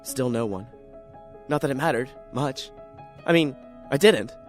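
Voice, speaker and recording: A man's voice: medium pitch, unhurried, soft background music, voice pushed down, lightly acted. A man playing a lonely, neurotic, paranoid writer in an introspective, theatrical radio drama monologue. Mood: brooding, frustrated.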